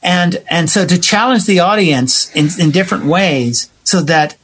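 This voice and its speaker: A man with a husky voice talking conversationally as a theater maker being interviewed, emphatic and given to acting out what people said. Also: sits high, animated, measured pace, fairly bright tone. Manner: emphatic, acts out quoted reactions. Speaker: theater playwright and director, interview guest. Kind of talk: animated conversational storytelling.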